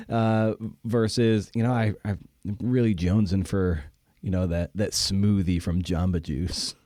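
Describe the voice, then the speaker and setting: Deep, mellow man hosting a podcast. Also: no echo, clean studio sound. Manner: informal, rambling phrasing. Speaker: podcast host. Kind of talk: casual interview chat.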